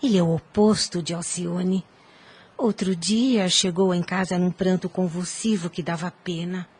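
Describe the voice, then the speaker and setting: A woman playing a devout, gentle, weary mother in an audio drama, speaking formally and earnestly. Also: fairly low pitch, steady tone, a little slow, distant mic, close to an everyday voice. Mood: troubled, confiding. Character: devout, gentle, ailing mother worn before her time.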